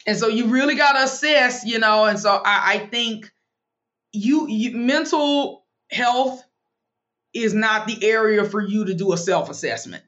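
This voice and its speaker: Frank, brisk woman giving money advice. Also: a little high, fairly bright tone, lo-fi sound. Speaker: financial planner and money coach. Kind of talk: candid interview talk.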